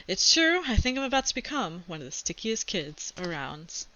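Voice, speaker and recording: A woman reading a children's book aloud to a class like a teacher, expressive and adding informal asides. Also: mid-range voice, moderate pace, highs cut off, a little hiss or hum.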